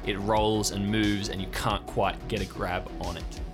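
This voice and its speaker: Low voiced guy running a tabletop game, describing stuff vividly, guitar music going behind him. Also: level tone, unhurried. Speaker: game master of an improvised audio drama. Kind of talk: improvised tabletop game narration.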